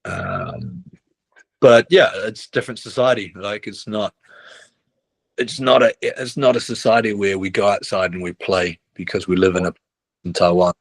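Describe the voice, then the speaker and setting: A man's voice: deep voice, sing-song, moderate pace, creaky, breathy edge, no echo. A middle-aged man, a basketball coach chatting casually in an interview, rambling with many restarts and tag questions. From New Zealand. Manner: rambling, restarts often, ends with "right?".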